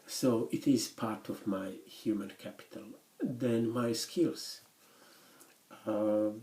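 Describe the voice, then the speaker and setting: A man lecturing university students in English, which is his third language, speaking haltingly with many restarts and pauses. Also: lowish voice, warm tone. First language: not English; English is his third language after Swedish. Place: lecture room. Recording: echoey classroom recording.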